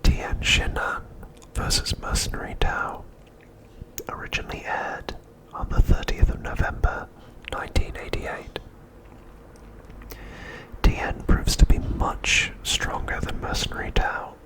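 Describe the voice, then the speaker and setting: A man whispering a scripted recap as ASMR narration, softly and with deliberate pauses, close to the microphone. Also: rough recording.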